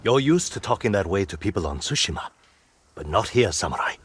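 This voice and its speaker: An actor playing a wary, hard-bitten island raider leader in a video game, with a rough, husky voice and a blunt, challenging manner. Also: male voice, low-pitched, big pitch swings, brisk, soft background music, heavily acted. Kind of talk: dramatic video game cutscene acting.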